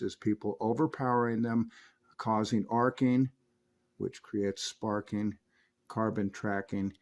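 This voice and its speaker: A man presents an equipment review and demonstration at a medium pitch. He explains technical details step by step, in a measured, explanatory manner, like a host walking viewers through a product.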